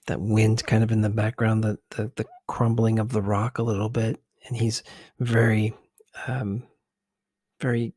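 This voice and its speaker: A man hosting a conversational ASMR commentary in a hushed, husky voice, halting as he searches for words. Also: fairly low pitch, no echo, clean studio sound. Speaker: video host.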